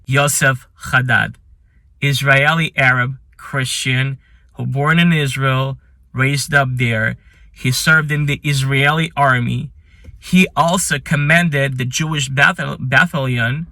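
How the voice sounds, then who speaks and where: A man talks in English, which is not his first language, with an earnest, admiring tone and some halting, imperfect phrasing. His voice is bright and forceful, at a middle pitch for a man. It sounds very dry, close on the mic. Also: no room sound. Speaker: channel host. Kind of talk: ranking list commentary.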